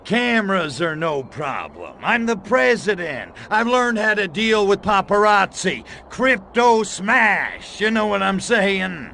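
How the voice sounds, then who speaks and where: Cocky gravelly little alien. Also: male voice, high-pitched, very animated, takes it slowly, few pauses, pushed and bright, a little hiss or hum, full character voice. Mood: eager, cocky. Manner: wisecracking, slangy, brash swagger. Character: cocky, lecherous little male alien invader. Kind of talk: video game cutscene voice acting.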